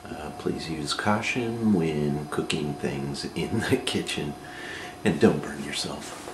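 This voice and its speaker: Low-pitched, slow North American man. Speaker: amateur cooking video host. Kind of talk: casual step-by-step cooking demonstration. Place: home kitchen, indoors. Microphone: camera microphone, a short distance away. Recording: roomy camcorder sound with clear noise.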